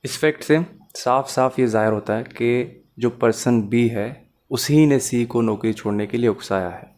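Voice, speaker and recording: Male law lecturer, Pakistani accent, average pitch, methodical explainer who mixes in English. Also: moderate pace, darkish tone. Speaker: law teacher.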